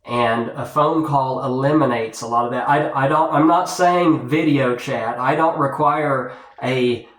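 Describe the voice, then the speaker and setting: A man with a mid-pitched voice talks slowly and haltingly, as if lecturing his viewers. He repeats himself as he gives off-the-cuff advice. The sound is roomy and echoing. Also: a bit bright. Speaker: video blogger giving dating advice. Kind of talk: unscripted monologue to viewers.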